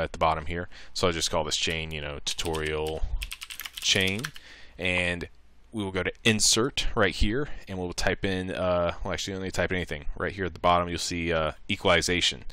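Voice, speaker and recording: A man presenting a casual software tutorial, talking informally through each step and thinking aloud. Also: sits low, smooth, no room sound.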